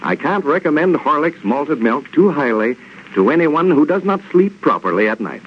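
A man's voice: a little high, sing-song, normal speed. A man with an American accent announcing a 1930s radio show, reading sponsor copy and scripted narration. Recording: muffled 1930s radio with faint noise.

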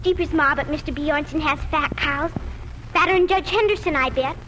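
A woman's voice: high-pitched, moderate pace, close to an everyday voice. A female performer playing an earnest, curious little farm girl in a 1940s radio drama. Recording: noisy old 1940s radio broadcast.

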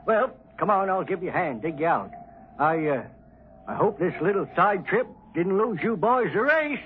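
A man's voice: high voice, sing-song, normal speed. An actor playing a friendly, blunt rustic messenger man, folksy and colloquial, in 1950s radio drama style. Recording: tinny, hissy old radio recording.

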